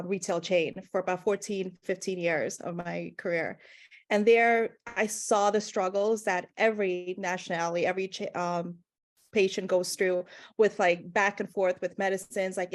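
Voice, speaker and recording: Guyana-born woman in her 30s chatting in an interview, earnest and rambly. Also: medium pitch. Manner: earnest, rambling, many fillers. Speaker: guest, holistic pharmacist. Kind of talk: interview answers.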